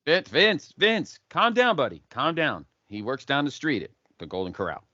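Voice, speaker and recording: A man's voice: on the high side, moderate pace, no echo, heavily acted. A man playing a wisecracking, deferential video store clerk in a comic audio play. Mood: soothing. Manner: flattering, joking, profane among friends. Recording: dull lo-fi audio.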